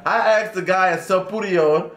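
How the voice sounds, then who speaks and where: Man, young adult, high bouncy voice, playful story, roomy sound, faint music. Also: normal speed. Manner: animated, playful, laughs a lot. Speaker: roommate. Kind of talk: casual storytelling. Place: living room at a dining table.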